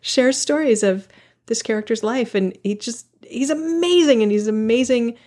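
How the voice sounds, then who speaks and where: A woman's voice: on the high side, normal speed, a little mellow. Enthusiastic woman hosting a podcast. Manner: enthusiastic, laughs often. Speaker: podcast host. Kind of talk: casual podcast chat.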